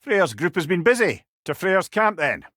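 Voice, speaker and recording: A man's voice: sits high, big pitch swings, normal speed, fairly bright tone, no room sound, studio quality. A man playing a witty, avuncular old Scottish counsellor in a video game, a severed head who talks in a wry, courtly, chatty way with salty turns of phrase. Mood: genial, explaining. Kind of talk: video game character dialogue.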